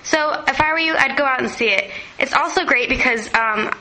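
A woman's voice: high-pitched, fairly quick, bright, forceful tone, slight background noise, rough recording. A teenage girl giving an enthusiastic, informal movie review. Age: teen.

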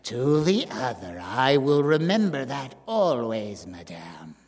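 A man voicing a tiny, furious dwarf bridegroom who screams high, then drops to a cold monotone. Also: slow, long reverb tail, full character voice. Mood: cold droning.

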